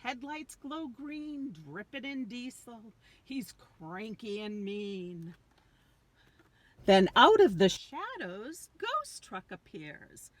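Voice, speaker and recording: An older woman reading a picture book aloud to young children, drawing out key words with dramatic emphasis. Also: on the high side.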